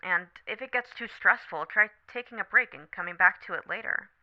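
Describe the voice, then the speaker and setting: Woman acting as supportive best friend in asmr phone roleplay, smooth mid voice, casual n gentle, candid, bit muffled. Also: mid-range voice, brisk, natural voice. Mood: reassuring. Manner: casual, gentle, hesitant and thoughtful. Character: supportive best friend, candid, teasing, on a late-night call. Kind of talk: scripted ASMR roleplay, one side of a phone conversation.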